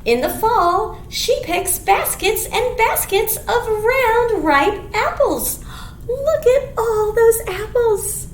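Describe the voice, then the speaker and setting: High sing-song woman hosting children's storytime. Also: expressive. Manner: sing-song, simple slow phrasing for small children. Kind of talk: children's storytime read-aloud with songs and rhymes. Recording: echoey room, clear background noise.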